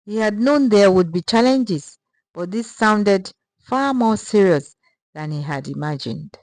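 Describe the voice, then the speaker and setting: A woman reads a folktale as a scripted narrator. Her pace is slow and measured with a dramatic storytelling manner, and the sound is dry and close. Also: medium pitch, lively intonation, a little mellow, no room sound.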